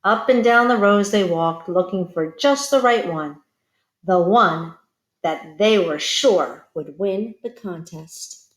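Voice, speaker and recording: A woman reading a picture book aloud to children like a teacher, expressive and pausing to put questions to young listeners. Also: on the low side, reverberant, poor audio. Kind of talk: children's read-aloud storytelling.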